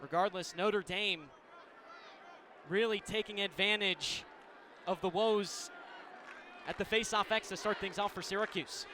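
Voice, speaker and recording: A very high-pitched American man calls a game live on radio. His tone is bright and forceful, his phrasing clipped and descriptive. Faint sports venue ambience sits behind the voice. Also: steady tone, a little slow, long pauses, some chatter behind, slight background noise. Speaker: play-by-play radio commentator. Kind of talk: live lacrosse radio commentary.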